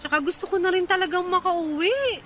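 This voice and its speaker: A woman's voice: very high-pitched, brisk, crowd noise. An actress in a Filipino radio drama playing an irritated, tired young woman stranded late at night, complaining in exasperation. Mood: weary complaint.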